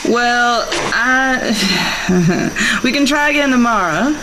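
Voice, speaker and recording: A woman playing an unruffled female animal keeper, laid-back and halting, in an improvised tabletop game. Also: fairly high pitch. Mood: awkwardly polite. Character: Amy, unruffled, hesitant female dinosaur park keeper. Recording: clearly noisy background.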